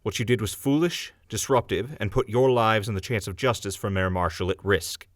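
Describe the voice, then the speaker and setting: A man's voice: lowish voice, normal speed, no echo, studio quality, close to an everyday voice. A man voicing a stern town judge who speaks formally and scolds the defendants.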